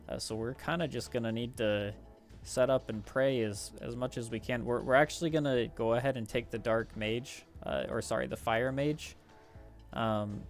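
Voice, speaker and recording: A man casually commentating on his gameplay, talking through strategy in a hesitant, rambling way. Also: mid-range voice. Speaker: gaming video host. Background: background music.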